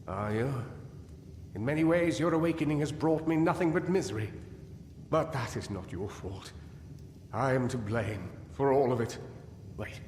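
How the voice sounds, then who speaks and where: An actor playing a weary, guilt-ridden, paranoid gnome scholar in a fantasy video game, theatrical and anguished, halting as he muses. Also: mid-range voice, darkish tone, slight background noise, close to an everyday voice. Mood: weary, regretful. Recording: echoey cavern-like reverb over music.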